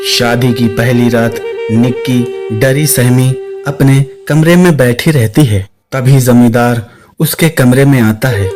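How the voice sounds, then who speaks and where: A man narrating a scripted suspense story, with music playing behind his voice. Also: mid-range voice. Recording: clear music under the voice.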